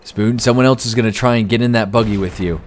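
A man's voice: on the low side, fast. A young man chatting casually and joking while playing a shooter game with a friend. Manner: animated, jokey, shouts in firefights. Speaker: gaming channel host. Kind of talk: casual co-op gameplay chat.

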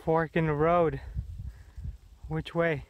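A man's voice: a little high, level tone, slow, phone-quality sound. A man, chatting upbeat as a vlogger, talking on a hike. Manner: upbeat, chatty, tour-guide tone. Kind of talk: casual vlog narration. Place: outdoors on a hiking trail. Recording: noisy outdoor recording.

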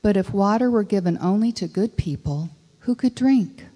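A woman voicing a calm, patient young man who speaks gently. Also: medium pitch, normal speed, close to an everyday voice. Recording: long reverb of a large hall.

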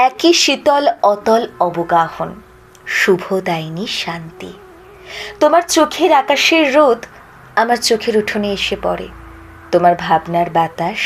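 A woman recites poetry expressively, in measured, dramatic phrases with pauses and drawn-out line endings. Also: fairly low pitch.

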